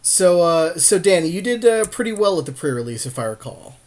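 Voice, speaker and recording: A man's voice: sits high, big pitch swings, fairly quick, a little mellow. A man co-hosting a gaming podcast, chatting and commenting on card play in slangy, sweary casual talk. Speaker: podcast co-host playing a card game. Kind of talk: casual game commentary with friends.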